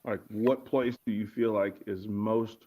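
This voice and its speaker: A man's voice: lowish voice, fairly even intonation, measured pace, warm tone. A man from Virginia, a guest speaker talking in a casual interview and thinking aloud as he repeats his words. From Arlington, Virginia. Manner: reflective, repeats words while searching for phrasing. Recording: muffled lo-fi audio.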